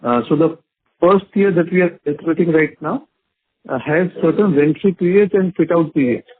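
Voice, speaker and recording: A company executive, answering an analyst patiently and politely. His voice is slightly high and husky. It comes through a dry, thin phone line. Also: normal speed. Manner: polite, calls the analyst sir, patient explanations with fillers. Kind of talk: earnings call Q&A.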